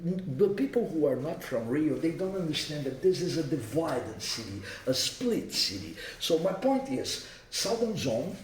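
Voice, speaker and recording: A man from Rio de Janeiro with a Brazilian accent, a native Portuguese speaker, informally briefing guests in an emphatic, didactic way with short repeated statements. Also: fairly high pitch. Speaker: accommodation host giving a city orientation. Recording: roomy, distant mic, faint background noise.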